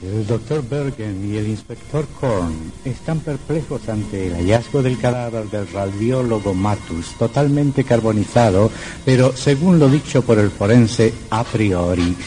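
An actor narrating an old radio horror serial in a grave, deliberate, dramatic manner. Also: male voice, on the low side, lively intonation, mellow, low-quality recording. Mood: grave, suspenseful.

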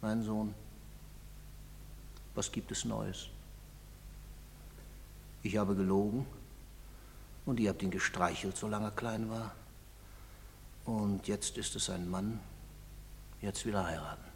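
A man's voice: on the low side, fairly even intonation, slow, gravelly, long pauses, darkish tone. An actor playing a guilt-ridden, hard-drinking father, bitter and brooding, in a declamatory theatrical stage style. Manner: brooding, declamatory, swinging from outbursts to muttered monologue. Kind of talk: theatrical stage drama acting in German. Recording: reverberant hall, noisy background hiss.

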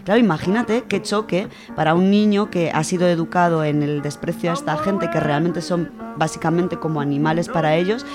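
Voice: mid-range voice, fairly quick, hardly any pauses. A person with an accent from Spain giving an informal, colloquial book review on the radio, explaining things in a conversational way. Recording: music under the voice. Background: music.

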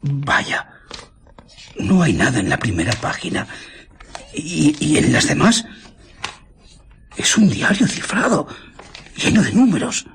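Boticario curioso, voz aguda. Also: male voice, a little high, huge pitch swings, slow pace, vocal fry, pauses often, fairly bright tone, a little hiss or hum, heavily acted. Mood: curious, puzzled. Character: fussy, inquisitive male village apothecary. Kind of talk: radio drama acting.

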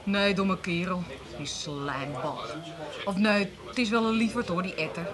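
A woman's voice: lowish voice, measured pace, crowd noise, close to an everyday voice. A young woman with an Amsterdam accent playing a feisty, cheeky city girl in a radio comedy, sassy and colloquial. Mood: downcast, exasperated. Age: young adult. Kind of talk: 1980s radio comedy acting.

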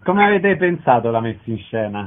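A man's voice: medium pitch, big pitch swings. Radio host man, phone-thin sound. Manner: brisk, formal announcer phrasing. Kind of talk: radio interview. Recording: tinny phone-like sound with faint hiss.

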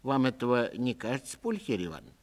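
An actor voicing a kindly, food-loving old landowner in a literary audiobook, gentle, fussy and hesitant. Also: male voice, medium pitch, huge pitch swings, fast, in a large hall. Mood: mild, hungry. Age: older.

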